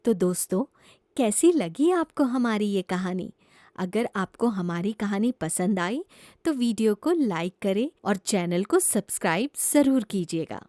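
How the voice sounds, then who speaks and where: A woman's voice: mid-range voice, expressive, a little slow, mellow, no room sound, clean studio sound. A woman narrating a scripted children's story as a storyteller.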